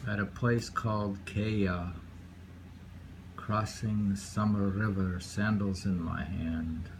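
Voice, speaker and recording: A man's voice: on the low side, steady tone, slow pace, slight background noise, low-quality recording. A man reading short poems aloud and musing on them casually, often repeating phrases. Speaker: poetry reader.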